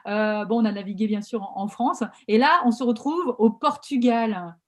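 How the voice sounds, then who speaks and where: A woman hosting an interview over a video call, chatty and energetic and using lots of fillers. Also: a little high, normal speed. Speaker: podcast host and coach.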